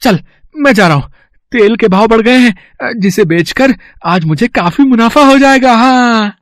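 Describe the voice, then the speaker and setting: A man's voice: very high voice, expressive, unhurried, dry recording, clean studio sound. An actor, a man, playing a greedy, irritable small-town trader in a moral story, grumbling and scolding fussily.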